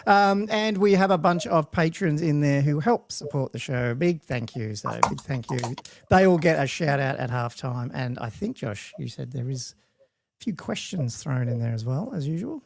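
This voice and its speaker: A man's voice: on the high side, animated, normal speed, gravelly. A man with an Australian accent, hosting a chatty tabletop gaming podcast in a rambling, laughing way. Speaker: podcast host. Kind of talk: casual podcast chat.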